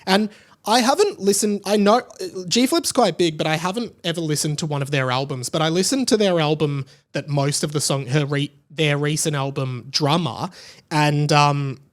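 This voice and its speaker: A man's voice: on the high side, normal speed. A young Australian man chatting casually and enthusiastically as a solo podcast host. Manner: enthusiastic, effusive.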